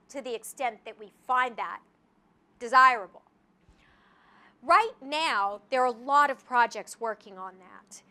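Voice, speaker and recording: A woman giving an academic lecture to an audience, lively, with asides and rhetorical questions tagged on with "right?". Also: high voice. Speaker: guest lecturer. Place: lecture hall. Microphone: handheld or podium mic.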